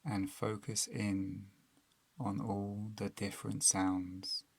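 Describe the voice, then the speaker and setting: A man narrating a guided meditation in a calm, soothing, unhurried manner, leaving long pauses between phrases. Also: fairly low pitch, husky, dry recording.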